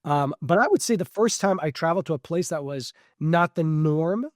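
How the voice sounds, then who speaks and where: A man's voice: a little high, animated, normal speed, dry recording, studio quality. A middle-aged man born in Switzerland, a podcast guest answering questions in a reflective, conversational way with many fillers and restarts.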